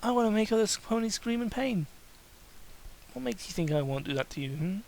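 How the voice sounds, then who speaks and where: A man voicing a volatile, murderous female cartoon pony with a threatening, forceful manner. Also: high-pitched, animated, brisk, vocal fry, pitched above the performer's own voice. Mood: quietly menacing.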